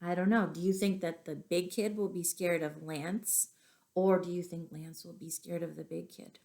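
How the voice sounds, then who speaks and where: A woman reading stories aloud to young children, expressive, pausing to ask them questions. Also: lowish voice, gravelly. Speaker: children's storytime reader and teacher.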